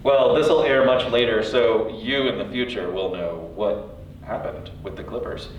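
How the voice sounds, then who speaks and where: A man's voice: medium pitch, moderate pace. Playful male interviewer, heavily echoing room. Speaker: interviewer, host. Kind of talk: casual, informal interviewing. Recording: very echoey, distant, dull sound, faint noise.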